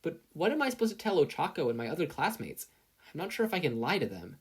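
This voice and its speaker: A performer voicing an excitable, earnest teenage boy superhero student in an audiobook style, eager and fretful. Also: quick pace, husky. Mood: eager, flustered. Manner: eager, fretful, high-strung. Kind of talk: audiobook-style character acting.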